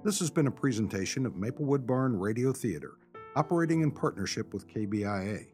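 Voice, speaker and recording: A man's voice: mid-range voice, lively intonation, a little fast, a little mellow. A man reading a scripted radio announcement in the role of show announcer. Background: music.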